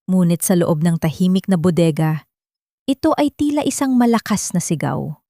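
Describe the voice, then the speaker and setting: A woman's voice: fairly low pitch, animated, normal speed, mellow, no room sound, clean studio sound. A woman narrating a scripted dramatic story as an audiobook narrator.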